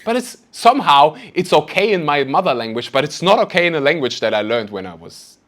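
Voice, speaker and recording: Male podcast host, German native speaker, chatty and animated, self-deprecating storyteller. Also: a little high, a little fast. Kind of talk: casual interview conversation.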